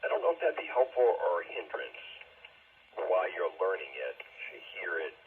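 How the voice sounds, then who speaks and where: A man's voice: sits low, sing-song, moderate pace, breathy edge. A man in his sixties chatting casually about his hobby over a narrow, muffled radio link. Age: 60s. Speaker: amateur radio operator.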